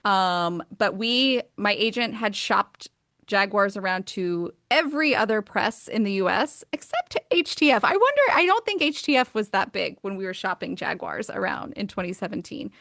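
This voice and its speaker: A woman's voice: medium pitch, big pitch swings, normal speed, a bit bright, no echo, studio quality. A woman in her thirties with an American accent, talking about her books as an interview guest, enthusiastic and chatty with frequent fillers. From United States. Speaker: author and guest. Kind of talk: casual interview conversation.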